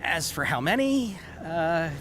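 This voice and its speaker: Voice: mid-range voice, slow, a little hiss or hum. An actor playing a nervous, fawning caravan merchant in a video game, who hesitates a lot. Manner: hesitant, full of "uh"s, flattering. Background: game music.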